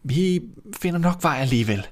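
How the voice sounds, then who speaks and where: A man voicing an eager, brave young boy in a fairy tale, speaking high and excitedly. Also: natural voice. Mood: reassuring.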